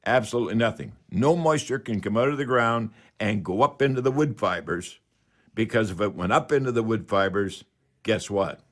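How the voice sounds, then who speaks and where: A man hosting a radio call-in show, giving unscripted, instructive how-to advice and repeating key phrases for emphasis. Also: lowish voice.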